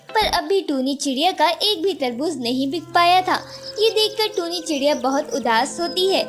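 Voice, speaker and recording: A woman storyteller reading scripted narration for a children's story. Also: high voice.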